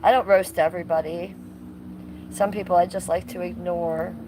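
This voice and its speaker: A woman's voice: fairly low pitch, fairly even intonation, moderate pace. A woman in her fifties chatting casually on a livestream, teasing and sassy as she talks back to her viewers. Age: 50s. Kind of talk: casual livestream chat, reading and answering viewer comments. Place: outdoors in a yard by a fire. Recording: noisy live stream with ambient noise.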